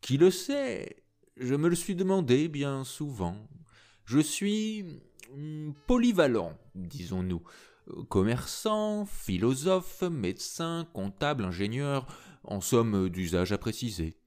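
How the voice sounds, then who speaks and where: A man's voice: medium pitch, big pitch swings, slow pace, a little mellow, voice pushed up. A man voicing a good-natured, mysterious older man in an audiobook reading, soothing and a little solemn. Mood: genial. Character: jovial, mysterious older man, a jack of all trades.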